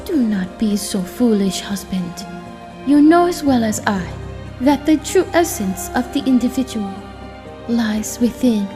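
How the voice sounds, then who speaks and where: A woman playing a serene, loving spirit wife in an audio comic drama, speaking gently and formally. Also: a little high, animated, music in the background. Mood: tender, reassuring. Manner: formal, gentle, measured speech.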